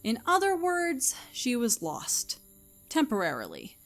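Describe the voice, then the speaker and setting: Animated woman narrating a story. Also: on the high side, measured pace, soft background music. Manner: expressive storytelling.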